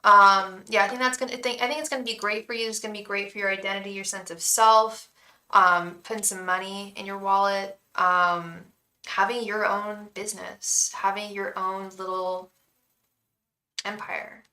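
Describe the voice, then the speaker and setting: A young woman with a calming voice, reading tarot live for viewers in a chatty, reassuring way, full of restarts and "um"s. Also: mid-range voice, normal speed, roomy sound, low-quality recording. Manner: addresses viewers fondly as "love". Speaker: tarot reader and psychic.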